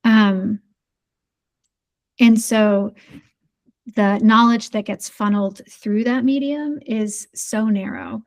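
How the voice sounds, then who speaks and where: A woman's voice: medium pitch, fairly even intonation, slow, long pauses. A woman in casual podcast conversation, speaking thoughtfully and hesitantly with many fillers. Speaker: podcast guest.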